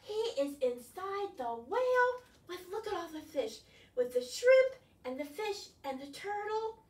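Woman in her sixties, children's storytime, slow pace, coaxing questions, roomy distant recording. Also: extremely high pitch, big pitch swings. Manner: exaggerated wonder, coaxing questions. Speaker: children's librarian. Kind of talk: storytime read-aloud to young children. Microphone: distant camera microphone. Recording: roomy, distant camera mic, faint noise.

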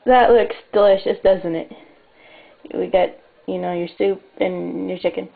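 A woman's voice: on the low side, steady tone, a little slow, vocal fry. A young woman hosting a homemade cooking show in her small kitchen, talking in a wry, self-mocking, offhand way. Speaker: home cooking show host. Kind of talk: casual jokey cooking demo. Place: small home kitchen at night. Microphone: fixed camera mic across the kitchen. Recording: echoey, tinny, noisy home camcorder audio.

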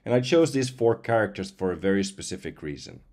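Male voice-over artist, forties, somewhat low and a little hoarse, chatting informally. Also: very animated, a little fast, darkish tone. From Finland. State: slightly hoarse. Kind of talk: casual demo talk to camera. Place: indoors at home computer, seen wearing headset.

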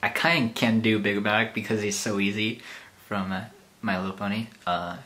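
A man's voice: lowish voice, steady tone, moderate pace, pushed and bright. A young man casually chatting to camera in a rambling, self-deprecating way, in a small bedroom. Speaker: YouTuber. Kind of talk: casual vlog chat.

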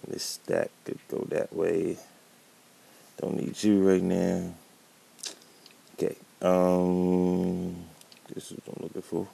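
Very low, gravelly man with a cold. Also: monotone, slow, long pauses, dark tone. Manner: laid-back, thinking aloud while building. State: catching a cold. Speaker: gameplay commentator. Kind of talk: casual gameplay commentary.

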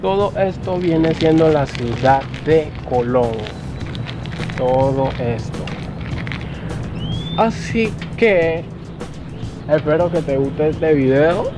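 Casual vlogger: young man, unhurried and halting, talking outdoors over clear background music. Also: on the high side, animated. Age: young adult. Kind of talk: casual vlog presenting to camera. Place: outdoors on a rooftop above a city.

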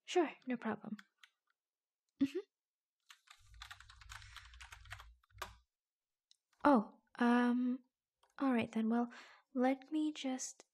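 An attentive, tender office assistant, played by a woman in an intimate ASMR roleplay. She speaks very slowly and softly, close to the mic, in a breathy voice with plenty of vocal fry. Her phrasing is gentle and hesitant, drifting toward a whisper. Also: fairly high pitch. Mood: concerned, gentle. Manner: hushed, gentle, hesitant pauses. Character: attentive, reassuring female office assistant, secretly affectionate. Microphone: close microphone.

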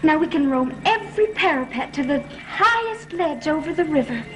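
A woman's voice: high-pitched, lively intonation, moderate pace, poor audio. A young actress playing a playful, wilful socialite in a gothic soap opera, girlish and coaxing. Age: young adult. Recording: old TV soundtrack, music behind.

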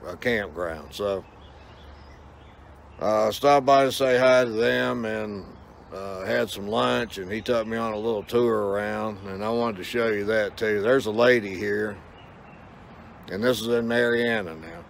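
An older man around sixty talks in a lowish, gravelly voice full of creak. His delivery is casual and conversational, like someone rambling to a phone held at arm's length. There is outdoor noise around him. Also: measured pace. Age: older, about 60. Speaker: vlogger. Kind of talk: casual rambling vlog talk to camera. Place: outdoors, in a yard or by water. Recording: outdoor ambient noise and hum.

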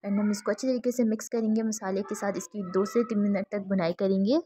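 A woman's voice: mid-range voice, fairly even intonation, a little fast, warm tone, breathy edge, dry recording, clean studio sound. A woman hosting a cooking tutorial, talking through each step in an easygoing, chatty way. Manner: easygoing, chatty, mixes English words into Hindi-Urdu. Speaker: cooking channel host.

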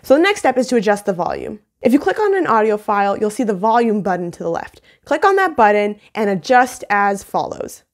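A woman's voice: mid-range voice, big pitch swings, brisk, few pauses. A young adult woman hosting a casual video tutorial in a playful, self-deprecating manner, recorded on a phone in a room at home. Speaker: tutorial host. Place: small indoor home room. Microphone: phone on a mount, about arm's length or a little more.

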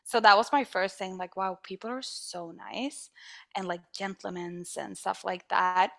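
A young woman with a Swedish accent chats on a podcast, clearly not speaking her first language. Her delivery is animated and friendly, with frequent laughs and playful acted-out quotes. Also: medium pitch, unhurried. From northern Sweden. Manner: animated, laughs often, acts out quoted voices. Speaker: podcast guest. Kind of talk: casual interview chat.

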